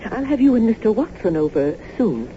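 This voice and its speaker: A woman plays a genteel, eccentric lady of the house, effusive and formal. Her voice is very mellow and swoops expressively. It comes through a dull old radio drama broadcast. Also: mid-range voice, very animated, a little fast, a little hiss or hum, close to an everyday voice. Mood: gracious, effusive.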